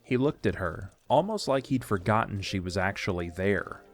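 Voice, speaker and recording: A man's voice: fairly low pitch, expressive, moderate pace, faint music behind. A man reading scripted narration for an audio drama, telling a story in the third person.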